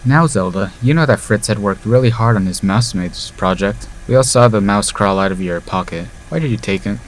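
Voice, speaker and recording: A man acting in an audio drama as a polite, smooth casting director running an audition. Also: lowish voice, normal speed, a little hiss or hum, lightly acted. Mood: coaxing.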